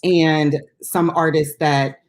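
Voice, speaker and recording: A woman with a fairly low voice co-hosts an unscripted music talk show. She is chatty and enthusiastic, speaking casually as if among friends. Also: fairly even intonation, slow pace, darkish tone.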